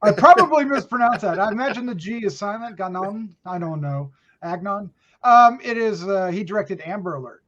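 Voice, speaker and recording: A man's voice: high voice, lively intonation, moderate pace, a bit bright. A man hosting a horror news podcast, presenting film news in a casual, jokey way. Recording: dull, lo-fi voice track.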